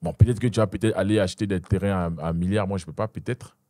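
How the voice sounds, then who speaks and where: A young man with an Ivorian French accent speaks fast in a low, dark voice, animated and full of restarts. The sound is dry and close. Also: dry recording. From Ivory Coast. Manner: animated, repeats and restarts, addresses listener with "tu vois". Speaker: guest, Muay Thai champion. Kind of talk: casual interview talk.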